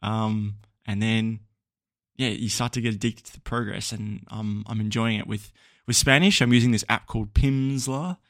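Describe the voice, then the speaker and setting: A man's voice: on the low side, normal speed, no room sound, clean studio sound. Chatty Australian man podcasting solo. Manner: rambling, chatty, frequent ums and laughs. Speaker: podcast host. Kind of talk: casual solo podcast chat.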